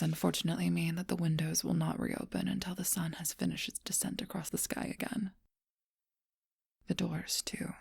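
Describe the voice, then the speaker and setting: Woman doing a vampire girlfriend whispering, low gravelly fry, super breathy, flat and slow, asmr close mic. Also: full character voice.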